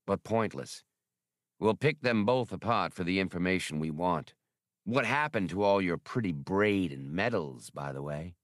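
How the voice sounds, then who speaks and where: A man voicing a reptilian gunman with a smooth, dry, mocking voice. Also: low-pitched, lively intonation, moderate pace, fairly bright tone, no echo, pitched below the performer's own voice.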